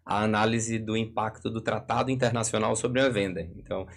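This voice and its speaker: Brazilian male expert, lowish voice, didactic explainer for a live talk. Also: steady tone, a little fast. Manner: didactic, works through hypothetical examples.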